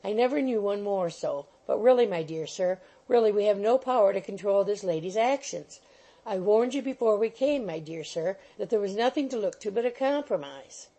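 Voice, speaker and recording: A woman's voice: medium pitch, animated, normal speed, warm tone, rough recording. A woman voicing a fussy, bustling little male attorney who coaxes and keeps saying "my dear sir". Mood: wheedling. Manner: coaxing, repeats "my dear sir".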